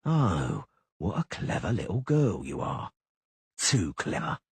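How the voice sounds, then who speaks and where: A low, drawling male killer who hisses his threats very slowly, as a man reading the story voices him. The tone is husky and close, with no room around it. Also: wildly swooping pitch, no echo, full character voice. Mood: menacing drawl. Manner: drawling, hissing.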